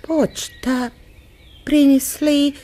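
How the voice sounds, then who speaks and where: Caring wife keeping brave face, radio drama, dark soft tone. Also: female voice, high-pitched, level tone, drawn-out words, in a large hall, slight background noise. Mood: subdued.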